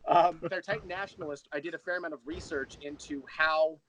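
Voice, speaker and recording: A man's voice: a little high, fairly even intonation, fast, a bit bright, a little hiss or hum, low-quality recording. A man speaking as the guest in a casual interview, explaining his creative work in a thoughtful, rambling storyteller's way. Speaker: interview guest, audio drama creator and writer.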